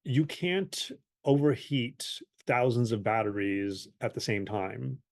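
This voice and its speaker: A man giving expert interview commentary, somewhat halting as he thinks his points through aloud. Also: mid-range voice, gravelly. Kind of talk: interview analysis.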